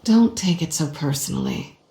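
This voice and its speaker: A woman's voice: deep voice, animated, moderate pace, creaky, warm tone, heavily acted. A woman voicing a sly, flirtatious, cynical cat-burglar antiheroine in a video game, with a teasing, sardonic manner. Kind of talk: cinematic video game voice acting. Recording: game soundtrack music behind, roomy reverb. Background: background music.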